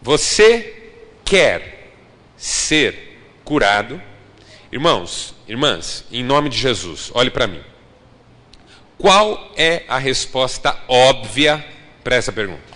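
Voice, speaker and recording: A man's voice: medium pitch, sing-song, slow, pauses often, pushed and bright. A man in his late thirties preaching a sermon to a congregation, speaking emphatically and addressing them directly. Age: 30s. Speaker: pastor. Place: large church hall. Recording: long hall reverb.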